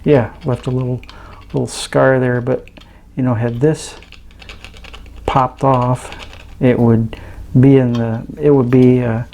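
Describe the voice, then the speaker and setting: A man giving casual hands-on demonstration commentary as a host, thinking aloud in a halting, understated way. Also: mid-range voice, level tone, dark tone. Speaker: host of an electronics teardown channel.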